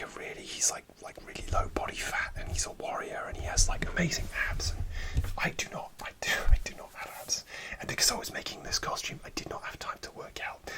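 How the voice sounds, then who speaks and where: Man whispering softly into a close mic. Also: rough recording. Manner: soft, rambling and hesitant, with many restarts. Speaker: ASMR creator. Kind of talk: whispered ASMR storytelling.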